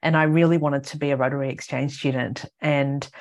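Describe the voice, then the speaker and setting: A woman's voice: a bass voice, fairly even intonation, fairly quick. An Australian woman, a leadership communication specialist, chatting as a guest and telling stories with frequent ums and easy laughter. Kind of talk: casual interview chat.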